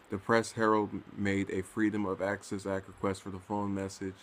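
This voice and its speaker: A man casually reading and commenting on news, stumbling over his words now and then. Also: fairly low pitch.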